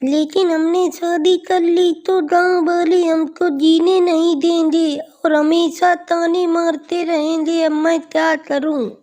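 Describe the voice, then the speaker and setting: Woman acting a high-pitched, plaintive young village sweetheart. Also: flat delivery, warm tone. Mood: worried. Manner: plaintive, pleading, drawn-out cries. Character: grieving young village woman in love, distraught and pleading. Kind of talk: animated bedtime ghost story acting.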